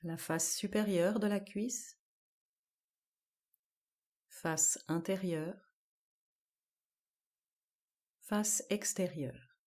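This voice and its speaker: A woman leads a guided relaxation meditation in a lowish, soft-edged and mellow voice. She speaks very slowly and calmly, placing each short instruction with measured care and leaving space between phrases. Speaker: yoga nidra guide.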